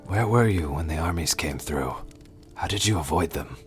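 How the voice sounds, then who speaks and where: A man playing a gruff, terse, wounded witcher in an audio drama, with a low rumbling, halting delivery. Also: expressive, soft background music. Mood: weary, terse.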